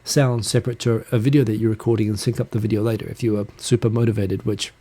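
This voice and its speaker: Man, New Zealand accent, dark mellow tone, rambling self-deprecating chat, close handheld mic. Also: on the low side, flat delivery. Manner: rambling, self-deprecating, talks fast with restarts. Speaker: livestream host. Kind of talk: casual solo live chat. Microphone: handheld USB recorder mic close. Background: computer fan hum.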